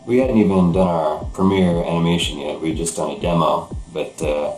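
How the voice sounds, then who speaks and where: A man recalling his work in an unscripted interview, speaking in a halting, hesitant way. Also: low voice, steady tone, a little mellow. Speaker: animation studio founder, interviewee. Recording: echoey room.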